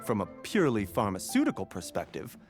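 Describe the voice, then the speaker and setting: Courteous, animated scholarly pharmacist. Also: male voice, fairly low pitch, faint music behind. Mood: calm, explanatory. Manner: polite, measured, formal. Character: courteous, gentle, scholarly young male herbal pharmacist. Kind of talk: video game character dialogue.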